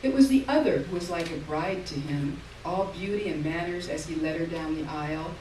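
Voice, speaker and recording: A woman reading literary fiction aloud on stage in a lyrical, measured way, heard from far off in an echoey hall. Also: deep voice, darkish tone, a little hiss or hum. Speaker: reader. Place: theater stage.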